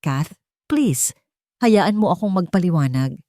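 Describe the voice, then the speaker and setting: A woman voicing a weary, desperate young man in a dramatized audiobook, speaking hoarsely and pleadingly. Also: on the low side, lively intonation, measured pace, dark tone, no room sound, clean studio sound.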